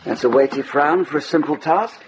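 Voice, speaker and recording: An actor playing a wry, familiar friend with dry humour in a period radio drama. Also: male voice, fairly high pitch, fairly quick, background noise, close to an everyday voice. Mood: light, teasing. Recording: muffled radio drama, loud background ambience.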